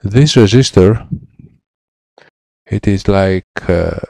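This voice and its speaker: A man in his thirties with a Greek accent, a repair technician explaining a laptop fix in halting English with many fillers, on a headset mic in his lab. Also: low voice, gravelly, mellow, no room sound. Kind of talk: hands-on repair tutorial. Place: electronics repair lab, indoors.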